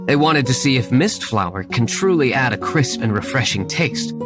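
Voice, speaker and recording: An actor playing a composed, shrewd young gentleman winery owner in a video game, formal and measured, with music behind him. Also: mid-range voice, lively intonation, lightly acted. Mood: explaining. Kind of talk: video game character acting.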